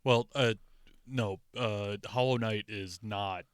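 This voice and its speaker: A man's voice: fairly low pitch, fairly even intonation, slow pace, clear, resonant tone, no room sound, studio quality. A man co-hosting a podcast, giving his opinions in casual chat. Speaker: podcast co-host.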